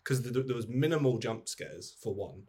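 A man's voice: mid-range voice, fairly quick, a little mellow, slightly rough. A man in an informal, rambling, sweary chat about films, acting out imagined lines as he goes. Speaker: podcast host. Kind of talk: casual film chat.

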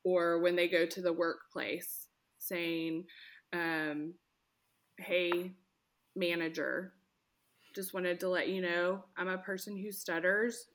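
A woman speech therapist explaining things in an earnest, lively way. Her voice sits a little low but sounds bright and forceful. She often slips into quoted examples. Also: slow pace. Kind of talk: conversational interview.

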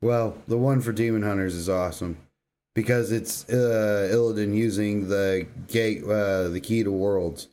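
Low-pitched man in casual, slangy banter. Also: fairly even intonation, unhurried, mellow. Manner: emphatic, informal, slangy, says "dude" often. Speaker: podcast co-host. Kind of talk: casual banter about video games.

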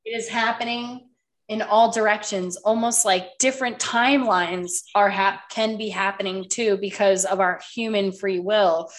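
A woman hosting a podcast in casual chat, a bit congested and nasal with a slight cough, who talks loosely and swears often. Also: mid-range voice. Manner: chatty, swears casually, lots of "like". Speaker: podcast host.

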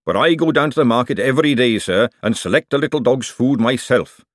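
A man's voice: medium pitch, fairly quick, no room sound, studio quality, pitched above the performer's own voice, lightly acted. A man voicing a nervous, servile male chef in an audiobook, hesitant and deferential. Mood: earnest. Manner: hesitant, servile, repeatedly saying "sir". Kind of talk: audiobook character dialogue.

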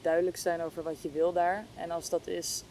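A woman's voice: level tone, a little fast, mellow. A woman chatting casually and reflectively, thinking aloud in a hesitant, searching way. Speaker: interview guest, podcast hostess. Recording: clear low rumbling background noise.

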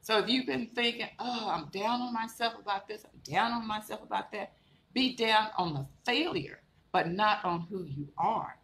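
A woman's voice: medium pitch, moderate pace, fairly bright tone. A woman minister giving a live-streamed sermon, encouraging and repetitive, building up to emphatic declarations. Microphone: live stream setup. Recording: echoey room with faint background noise.

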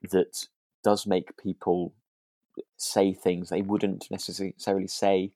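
A man with a very low voice talks casually as a podcast co-host. His phrasing is tentative and rambling, with frequent circling back. The sound is very dry and close, free of room echo. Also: little pitch movement, takes it slowly, mellow. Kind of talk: casual discussion of a radio drama.

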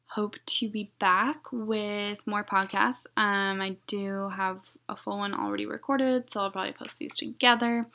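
A young woman hosting her own podcast, talking in a flat, monotone, rambling way and being blunt. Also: medium pitch. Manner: blunt, unfiltered. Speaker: podcast host. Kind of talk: casual solo podcast intro.

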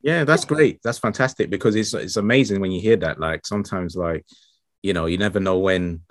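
A man's voice: sits low, brisk, dry recording, studio quality. A man hosting a podcast, talking in a loose, informal way with slang. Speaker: podcast host. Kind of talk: casual interview.

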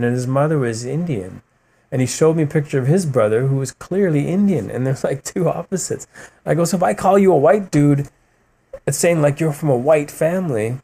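A man, a guest on a podcast, talking passionately in a rambling, casual way, often adding "man" and "you know" and acting out quotes as he tells stories. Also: fairly high pitch. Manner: animated storytelling with voiced quotes. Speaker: podcast guest, musician and writer. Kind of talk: casual interview talk.

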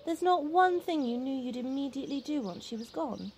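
A woman's voice: high-pitched, animated, a little fast, darkish tone, slight background noise. A woman in an audio play acting an outspoken, exasperated old flame, forthright and sardonic. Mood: frank, coaxing.

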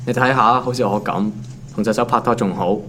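A man's voice: lowish voice, brisk, fairly bright tone, slight background noise, natural voice. An actor with a Hong Kong accent playing an ordinary office worker in his twenties, wry and colloquial, in a naturalistic audio drama. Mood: teasing, casual. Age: 20s. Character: ordinary young male office worker, teasing and put-upon.

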